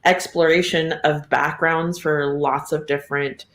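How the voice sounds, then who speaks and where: Slow-talking woman in an echoey room. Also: deep voice, fairly even intonation. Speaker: podcast guest. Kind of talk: casual chat. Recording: roomy, echoey sound.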